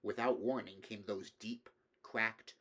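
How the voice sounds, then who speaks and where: Measured male reader of horror fiction. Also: on the low side, steady tone, a bit bright. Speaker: audiobook reader. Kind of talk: dramatic literary horror narration.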